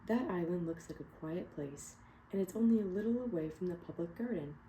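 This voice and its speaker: Storybook father duck, polite and easygoing; woman reader, soft dark tone. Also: lowish voice, expressive, brisk, reverberant, a little hiss or hum, natural voice, low-quality recording. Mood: content.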